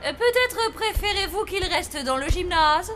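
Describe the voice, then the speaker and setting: A woman's voice: very high voice, expressive, measured pace, over loud music. A woman voicing a formal, gracious official with a polite, ceremonious way of talking in a cartoon. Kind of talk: French animated cartoon dubbing.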